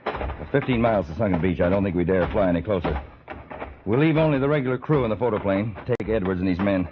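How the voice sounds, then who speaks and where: An actor playing a commanding, impatient government agent in a 1950s-style radio drama, with a brisk, clipped delivery. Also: male voice, lowish voice, expressive, lightly acted. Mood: resolute. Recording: muffled old radio recording, loud noise.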